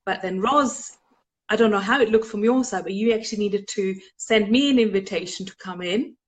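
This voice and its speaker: A woman's voice: mid-range voice, fairly quick. A woman with an Austrian accent hosting a live online show, chatting casually with many fillers.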